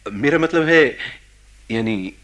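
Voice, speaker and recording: A man's voice: medium pitch, animated, takes it slowly, a bit bright. A man acting a police investigator in a radio play, formal, probing and insistent.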